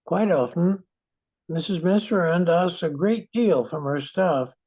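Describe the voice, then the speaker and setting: An older man playing a charming, warm-hearted, self-satisfied station aide in a 1940s-style radio comedy. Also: on the high side, unhurried. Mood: pleased.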